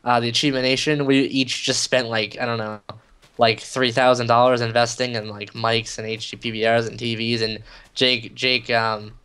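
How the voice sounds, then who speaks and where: A man's voice: mid-range voice, level tone, moderate pace, a bit bright, smooth. A young man co-hosting a gaming video, telling a story in loose, filler-heavy casual chat. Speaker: gaming channel co-host. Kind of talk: casual chat over gameplay.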